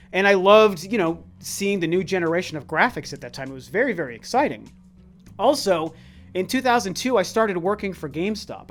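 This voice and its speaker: High-voiced man with a tired, worn voice. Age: about 40. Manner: earnest, conversational, with frequent restarts. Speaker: YouTube channel host. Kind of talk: casual Q&A chat.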